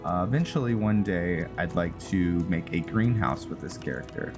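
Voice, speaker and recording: Deep-voiced guy doing lets-play commentary, jokey, game music behind. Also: lively intonation, normal speed. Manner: joking, thinking aloud. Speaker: gaming video host. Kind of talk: casual let's-play commentary.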